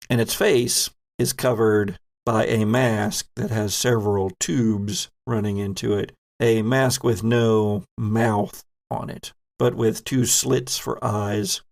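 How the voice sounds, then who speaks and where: A man narrating a tabletop role-playing game as game master, in a measured, descriptive way. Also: lowish voice, vocal fry.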